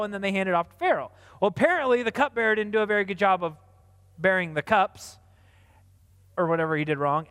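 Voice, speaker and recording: A man preaching, retelling a Bible story in a chatty, animated way. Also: sits high. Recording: long hall reverb.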